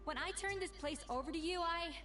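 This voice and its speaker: A proud and dignified chef in a video game, high in pitch. She speaks formally with a sharp, exacting edge, over faint music. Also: brisk, distant mic. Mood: indignant, scolding. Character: proud, composed former head chef with sharp tongue.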